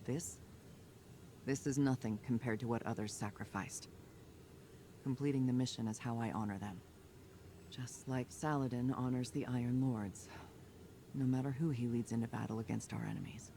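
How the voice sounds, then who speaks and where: A male actor plays a resolute military commander in a video game story. His voice sits at a middle pitch and sounds earnest and burdened. Some ambient noise runs behind him. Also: steady tone, moderate pace, vocal fry, long pauses, dark tone, natural voice. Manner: earnest, firm.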